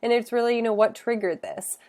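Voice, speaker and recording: A woman's voice: fairly high pitch, steady tone, brisk, a little mellow. A woman who works as a functional nutritionist, congested from a cold, explaining health topics in a casual, explanatory way with many filler sounds. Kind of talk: educational talk to camera.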